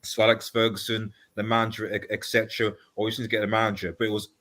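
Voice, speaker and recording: A man's voice: on the low side, little pitch movement, quick pace, bright, forceful tone. Polite man in casual football chat. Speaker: panelist on a football discussion. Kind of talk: casual sports discussion.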